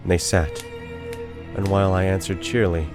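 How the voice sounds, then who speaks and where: A man voicing a guilt-crazed murderer in a theatrical, deliberate dramatic reading of a gothic horror story. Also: low-pitched, little pitch movement, music in the background, close to an everyday voice. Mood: smug confidence. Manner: theatrical, deliberate phrasing with drawn-out words. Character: guilt-crazed murderer, feverishly confident, unraveling into frantic confession.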